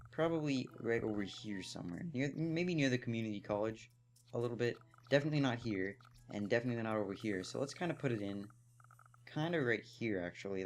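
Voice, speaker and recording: A young man giving casual gameplay commentary, thinking aloud with fillers, his voice a little hoarse. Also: on the low side. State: slightly hoarse. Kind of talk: casual let's play commentary.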